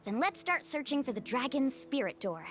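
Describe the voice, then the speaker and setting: A woman's voice: sits high, very animated, normal speed, fairly bright tone, faint music behind, heavily acted. An actress playing an upbeat, friendly young woman guide in a video game, thoughtful and encouraging. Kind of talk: scripted video game dialogue.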